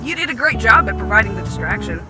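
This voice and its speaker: A woman's voice: a little high, very animated, fast talker. A young woman acting in an audio drama as a sarcastic, teasing superhero sidekick who builds gadgets. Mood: smug. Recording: loud music bed behind voice.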